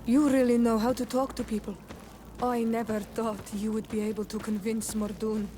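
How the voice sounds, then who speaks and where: A woman's voice: on the high side, level tone, normal speed, dark tone, close to an everyday voice. A woman playing an admiring companion character in a video game. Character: admiring female companion of a diplomat. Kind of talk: video game dialogue acting. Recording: clear ambient noise behind the voice.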